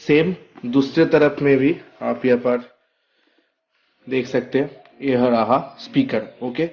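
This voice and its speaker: A man's voice: mid-range voice, steady tone, slow, gravelly, lo-fi sound. A man giving a casual, step-by-step hands-on product tutorial, often checking that the listener follows. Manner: explains step by step with frequent check-in words. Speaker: product reviewer vlogger.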